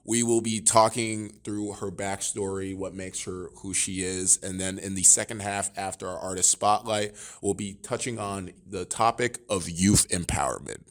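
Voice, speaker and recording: A young male podcast host in college, with a somewhat low voice. He runs through announcements, then jokes and teases his guest in an upbeat, casual way. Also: fairly even intonation, moderate pace, few pauses. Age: young adult. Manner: joking, upbeat, teasing the guest.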